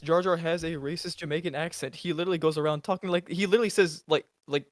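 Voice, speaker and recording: A man's voice: a little high, fairly even intonation, fast talker, no room sound. Podcast co-host, male, dry close mic, worked-up and argumentative, stumbling over himself. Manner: argumentative, exasperated, many restarts. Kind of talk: casual chat.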